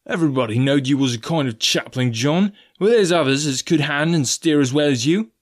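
A man voicing an impatient, greedy pirate coxswain from a storybook, growling complaints in rough sailor speech. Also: fairly high pitch, lively intonation, fairly quick, voice pushed up. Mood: defiant.

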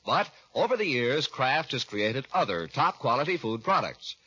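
Male American announcer, 1940s radio. Bright, slightly husky, emphatic and polished, on a dull vintage recording. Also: medium pitch, big pitch swings, normal speed.

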